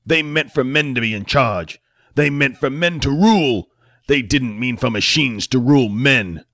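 A man voicing a heavyset, enraged male senator who rants in slow, emphatic oratory. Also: mid-range voice, fairly bright tone, no room sound, studio quality, pitched above the performer's own voice, natural voice. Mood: ranting.

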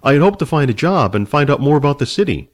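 Man voicing an earnest young barbarian hero, plain and direct, somewhat high. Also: sing-song, quick pace. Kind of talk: storybook reading.

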